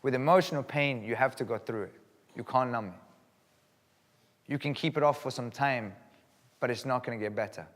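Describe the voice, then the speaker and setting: A man's voice: medium pitch, moderate pace, pauses often. A man from Sweden giving an earnest, emphatic personal speech to a live audience, repeating phrases for weight. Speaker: live event speaker. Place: event hall. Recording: long hall reverb.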